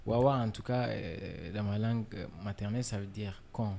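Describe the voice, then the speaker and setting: A man from Niger, a musician guest in a casual interview, relaxed and filler-heavy, dropping in English words. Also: fairly low pitch, moderate pace, gravelly, slight background noise.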